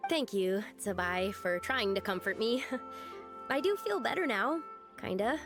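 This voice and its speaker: A woman's voice: mid-range voice, animated, unhurried, music in the background, natural voice. A woman playing an earnest, self-doubting young village girl in a video game, with a hesitant manner. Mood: grateful, gentle. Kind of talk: video game character acting.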